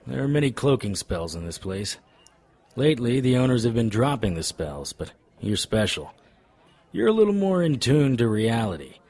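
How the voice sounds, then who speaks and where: A man's voice: medium pitch, sing-song, normal speed, a little mellow. A man playing a wry, world-weary paranormal investigator in an audio drama, narrating and talking with dry wisecracks. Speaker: lead character and narrator.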